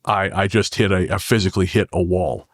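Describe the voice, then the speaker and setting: Low-voiced man in a dry recording. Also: steady tone, fairly quick, dry recording. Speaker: podcast guest, horror fiction writer. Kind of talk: conversational interview talk.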